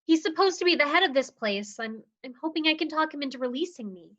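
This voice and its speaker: A woman voicing Dottie, a weary young woman patient with dry, deadpan comebacks, in a dramatized script reading. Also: sits high, fast talker, lo-fi sound. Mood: hopeful. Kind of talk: livestream play script reading.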